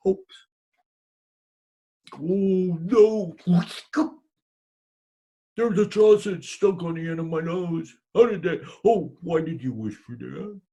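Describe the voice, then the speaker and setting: A storyteller puts on an excitable woodcutter who blurts out every thought in a loud, exclaiming rush. The voice is gravelly and a bit husky, pitched somewhat high for a man, like a character in a spoken folk tale. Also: unhurried, heavily acted. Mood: alarmed. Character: excitable simple-minded male woodcutter.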